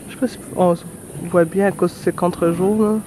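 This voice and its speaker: A woman's voice: on the low side, steady tone, brisk. A young woman with a Québécois accent and a stuffy cold, chatting casually and laughing often in a travel vlog, filmed outdoors on a handheld camera. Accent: Québécois French. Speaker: travel vlogger. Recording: outdoor ambience with traffic noise.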